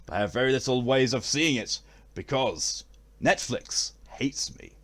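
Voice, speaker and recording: A man's voice: medium pitch, animated, measured pace, fairly bright tone. A man chatting on a live game stream, with formal wording and a theatrical way of talking.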